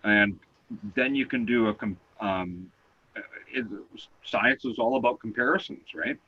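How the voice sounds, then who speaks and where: A man with a loud voice, co-hosting an online talk show and patiently explaining things step by step. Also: fairly low pitch, a little slow, slightly rough, highs cut off. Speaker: co-host explaining seismograms.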